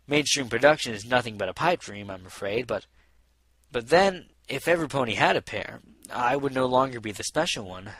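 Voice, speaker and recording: A boastful male pony charmer, as a man acts him out, with a bright, forceful voice. He is smooth-talking, self-important and eager, a storybook would-be ladies' man, heard close and dry. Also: medium pitch, dry recording.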